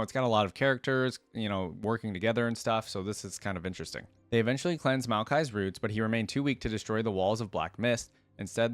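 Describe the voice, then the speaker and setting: A man's voice: on the low side, dry recording. A man hosting a reaction video, reading written lore aloud and breaking off for casual commentary. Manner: casual asides while reading.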